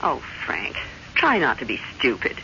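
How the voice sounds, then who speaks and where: An actress playing a tense, prim American housewife, impatient and scolding, in old-time radio drama style. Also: female voice, a bass voice, huge pitch swings, normal speed, creaky, sharp tone, heavily acted. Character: Laura, tense, fussy housewife obsessed with her house. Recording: dull, noisy vintage radio recording.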